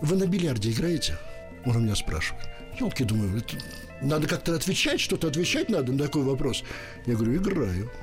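An older man from Ukraine, an interpreter, telling a story in a radio interview, lively and acting out the voices he quotes. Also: medium pitch, fast talker, music in the background.

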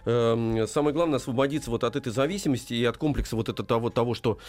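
A man's voice: mid-range voice, fast talker, darkish tone. A man co-hosting a radio show in spontaneous chat, interjecting eagerly and repeating his agreement. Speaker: radio co-host. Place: radio studio.

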